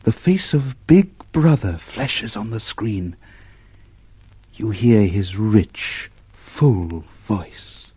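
A male actor playing a grave, brooding narrator, giving measured dramatic narration in an old radio play. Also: fairly low pitch, lively intonation, dark tone, slightly rough, heavily acted. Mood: sombre calm. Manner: slow, measured, sombre.

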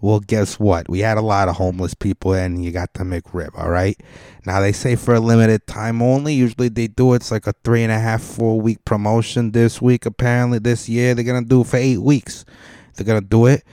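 A man's voice: fairly low pitch, fairly even intonation, brisk, mellow, no room sound, clean studio sound, lightly acted. A man voicing a brash male caller with a New York accent, talking in a confiding, conspiratorial way.

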